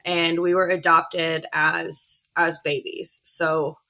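A young woman, a professional soccer player, chatting casually about her background in an interview, telling stories in a relaxed way. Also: low voice, slow pace, rough recording. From born in Chile, grew up in Illinois and Indiana. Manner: chatty, relaxed storytelling with frequent restarts.

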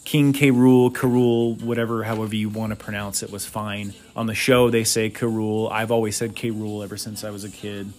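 A man's voice: lowish voice, little pitch movement, normal speed, hardly any pauses, darkish tone. Blunt man reviewing cartoons. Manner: blunt, opinionated, crude humor. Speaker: podcast host. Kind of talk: casual solo review podcast.

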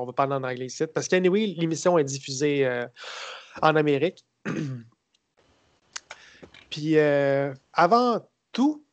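A man with a Québécois accent chats on a podcast, recapping and mocking a film with dry sarcasm. He rambles and halts as he goes. His voice sits a little high, and the recording is close and dry. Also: no echo. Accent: Québécois French. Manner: sarcastic, rambling, halting storyteller. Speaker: podcast co-host. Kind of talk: casual podcast chat about films.

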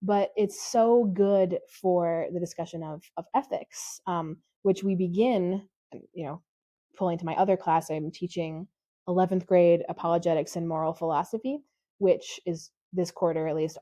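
A woman who teaches high school, talking casually about her classes in a mellow voice. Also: no room sound.

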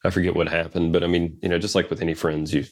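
A man's voice: low-pitched, little pitch movement, very fast. A man telling stories from his Special Forces service in a casual interview, rambling with many fillers and restarts. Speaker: guest, Special Forces veteran.

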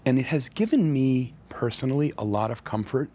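A man's voice: mid-range voice, expressive, normal speed, darkish tone, breathy edge. A man who is a rabbi, speaking as a podcast guest in an earnest, reflective way, with the occasional wry aside. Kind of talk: thoughtful interview conversation.

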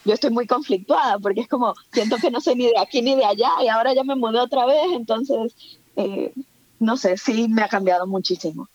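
A woman's voice: fairly high pitch, brisk, dry recording. Woman in her thirties from the Dominican Republic, reflective and hesitant, on a dull, dry lo-fi recording. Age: 30s. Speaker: guest. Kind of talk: casual conversation.

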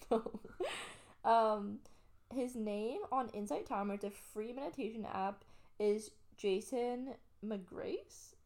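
A woman's voice: fairly high pitch, takes it slowly, darkish tone. A young adult woman from Canada, chatting casually and upbeat as a podcast co-host. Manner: chatty, upbeat, lots of fillers. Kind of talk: casual chat.

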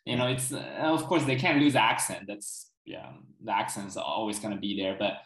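A man's voice: fairly low pitch, animated, normal speed, a bit bright. A young man speaks as an interview guest in a casual, conversational way. He explains at length and keeps checking that the listener is following. His voice carries a noticeable room echo. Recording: roomy echo.

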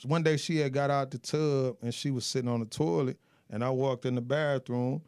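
A man's voice: medium pitch, moderate pace, smooth. Laid-back slangy man telling stories. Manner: slangy, relaxed, tells stories in a loose way. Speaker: guest. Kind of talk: casual frank podcast chat.

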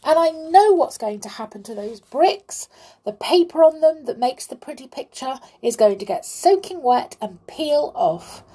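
A woman voicing a know-all teddy bear in a children's story, giving emphatic, drawn-out warnings. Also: sits high, lively intonation, mellow, pitched above the performer's own voice, poor audio. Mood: foreboding.

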